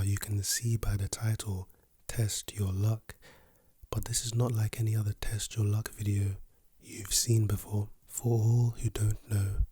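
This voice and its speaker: A man with a lost, hoarse voice, speaking softly and whispering in a playful, teasing way as an ASMR creator. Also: lowish voice, no room sound. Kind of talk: soft-spoken and whispered ASMR.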